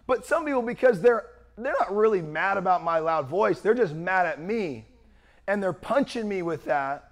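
A young man preaching a sermon with a worn-out, strained voice and a loud, projecting manner. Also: high-pitched, animated, normal speed. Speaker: pastor.

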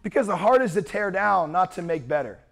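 A young man preaching a sermon with a worn-out, strained voice and a loud, projecting manner. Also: high voice, lively intonation, a little fast, gravelly. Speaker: pastor.

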